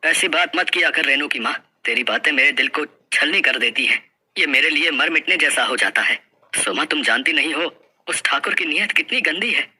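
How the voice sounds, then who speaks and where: A man's voice: on the high side, lively intonation, moderate pace, bright, forceful tone, slightly rough, heavily acted. A man playing a poor, proud village farmer, pleading and emotional in a dramatized folk story. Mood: anguished, firm.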